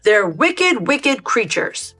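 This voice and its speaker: A woman's voice: fairly high pitch, sing-song, slow, fairly bright tone, breathy edge, soft background music, voice pushed up, exaggerated voice. A woman playing a firm, dutiful male mouse dentist in a storybook, with an emphatic, authoritative manner. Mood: distrustful.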